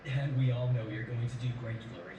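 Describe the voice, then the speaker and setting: A man's voice: mid-range voice, fast talker, lo-fi sound, close to an everyday voice. Guy doing encouraging pony dad king, distant and super echoey. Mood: proud. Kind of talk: cartoon-style fan audio drama. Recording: very echoey, distant playback with loud noise.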